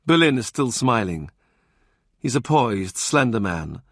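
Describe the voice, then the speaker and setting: A man narrating an audiobook in a calm, measured way. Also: medium pitch, dry recording, clean studio sound. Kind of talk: scripted narration.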